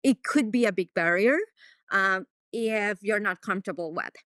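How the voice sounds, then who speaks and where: A woman's voice: mid-range voice, normal speed, fairly bright tone, clean tone, no room sound, studio quality. A woman from Mexico with a Mexican accent and Spanish as her first language, answering interview questions conversationally as a veterinarian. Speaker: veterinarian, podcast guest.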